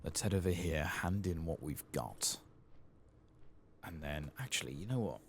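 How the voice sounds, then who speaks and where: A man hosts a game playthrough in a hushed, soft-spoken ASMR style. His voice is extremely deep and murmured, with a gravelly creak. He takes his time over the words at a slow pace. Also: lively intonation, a little hiss or hum. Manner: hushed, murmured, occasionally whispering. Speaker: gaming channel host.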